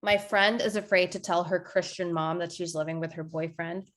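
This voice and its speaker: A woman's voice: lowish voice, fairly even intonation, normal speed, fairly bright tone, lightly acted. Punchy young female stand-up comic, acted out. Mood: confident, wry. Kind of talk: stand-up routine in a table read. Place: video call from home.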